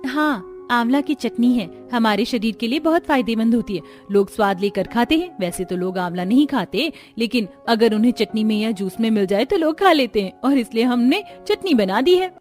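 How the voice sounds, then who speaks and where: Woman as humble snack seller, helpful, polite daughter-in-law, higher pitch, cartoon story, music behind. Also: animated, normal speed, few pauses, darkish tone, lightly acted.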